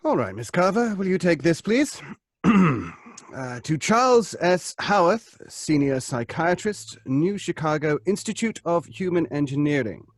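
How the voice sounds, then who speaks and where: A man playing a calm, measured psychiatrist in a live radio play, dictating in a clinical way. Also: on the high side, no echo, clean studio sound. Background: faint live music.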